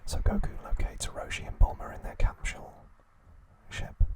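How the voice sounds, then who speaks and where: A man speaks entirely in a breathy whisper, in the style of ASMR narration. His delivery is hushed and measured, broken into short phrases as he recaps in a storytelling way. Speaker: host of an ASMR trivia channel.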